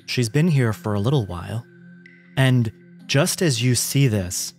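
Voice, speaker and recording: Guy running a horror tabletop game, dramatic deliberate narration, some music behind. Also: medium pitch, expressive, a little mellow. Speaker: game master. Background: background music.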